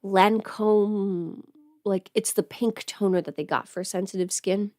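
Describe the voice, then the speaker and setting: A woman chats casually on a livestream as she gets ready. Her pitch sits in a middle range for a woman, and she rambles, breaking off to think aloud. The sound is close and dry, with very little room on it. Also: fairly even intonation, measured pace, mellow, no echo. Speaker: livestreamer chatting with viewers.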